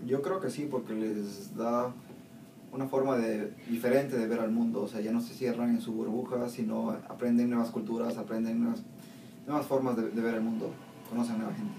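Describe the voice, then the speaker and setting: A young man answering interview questions hesitantly, pausing to search for words, in a small classroom. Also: medium pitch, flat delivery, mellow. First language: Spanish. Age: young adult. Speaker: student interviewee. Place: small classroom with plain walls. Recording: distant echoey room, faint noise.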